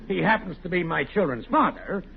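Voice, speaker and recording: An actor playing a sly, cocksure wisecracking neighbor, boastful and needling, in 1940s radio comedy style. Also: male voice, high voice, expressive, fairly quick, gravelly. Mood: slyly coaxing. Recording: muffled old-time radio recording, faint hiss.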